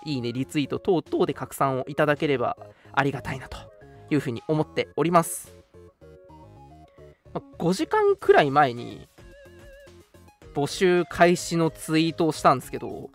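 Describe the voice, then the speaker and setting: Lively male radio host over music. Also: medium pitch. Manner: polite, chatty, frequent interjected particles. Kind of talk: casual scripted-segment radio announcements.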